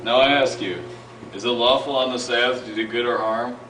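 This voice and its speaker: A student actor playing Jesus as a patient teacher in a casual amateur skit, speaking in an easygoing way. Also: male voice, mid-range voice, fairly even intonation, fairly quick, close to an everyday voice. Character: calm adult male Jesus, patient teacher. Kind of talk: amateur class skit acting. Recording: roomy distant noisy home video.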